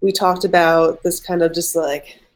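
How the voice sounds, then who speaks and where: A woman's voice: lowish voice, fairly even intonation, moderate pace. A young woman, an artist guest, chatting casually in an interview, rambling with many "like" fillers. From mixed Egyptian and Irish family. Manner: rambling, heavy use of "like" fillers.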